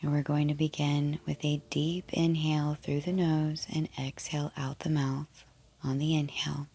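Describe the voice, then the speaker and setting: A woman guiding a yoga nidra relaxation in a soothing, gentle, unhurried way, with soft, breathy phrase endings. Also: low-pitched, little pitch movement, a little hiss or hum. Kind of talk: guided relaxation meditation.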